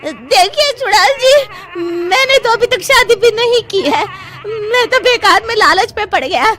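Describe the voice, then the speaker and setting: Woman as high-pitched, greedy, cowardly young man. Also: a little slow, a loud crowd. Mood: frightened, pleading. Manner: excitable, wheedling, pleading. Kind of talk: cartoon story dialogue.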